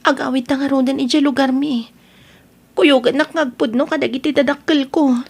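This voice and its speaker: A woman's voice: sits high, fairly even intonation, normal speed, a little mellow. A woman playing an anxious, clinging nineteen-year-old in an Ilocano audio drama, pleading and coaxing with an Ilocano accent. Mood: worried, pleading.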